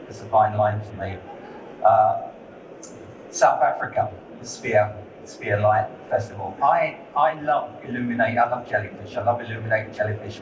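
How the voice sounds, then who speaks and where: A man talking as a lighting designer on a conference panel. He speaks candidly and conversationally, repeating words as he gathers his thoughts. The sound is distant and roomy, with a steady noise underneath. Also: lowish voice. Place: conference hall. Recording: echoey hall, distant mic, noisy.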